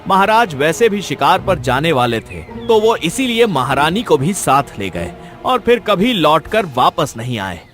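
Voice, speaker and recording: A man's voice: a little high, very animated, unhurried, hardly any pauses, a bit bright, faint music behind. A man voicing a solemn royal minister recounting sad past events in a cartoon story. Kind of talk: dramatized storytelling dialogue.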